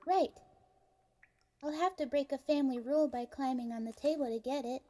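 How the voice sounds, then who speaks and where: A woman's voice: high voice, brisk, dark tone, close to an everyday voice. A woman playing an earnest, polite and plucky young farm girl in a fantasy audio drama. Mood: brisk, determined.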